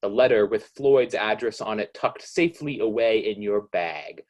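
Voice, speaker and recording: A man playing a knowing, ominous narrator of an old-time radio mystery, speaking in a deliberate, insinuating way. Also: on the low side, a little mellow, natural voice. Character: knowing, ominous male narrator who needles the guilty heroine. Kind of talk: 1940s radio mystery narration, second person.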